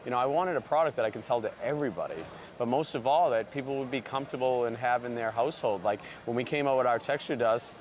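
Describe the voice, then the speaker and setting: A man's voice: medium pitch, fast talker, muffled recording, slight background noise. A man who founded a barber brand, talking earnestly in a sit-down interview and leaning on lots of "you know" fillers. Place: outdoors at a busy trade convention.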